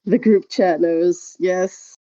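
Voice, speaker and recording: Woman, mellow, casual podcast chat, understated, hesitant, slightly dull dry recording. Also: on the low side, steady tone, takes it slowly, dry recording. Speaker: podcast co-host.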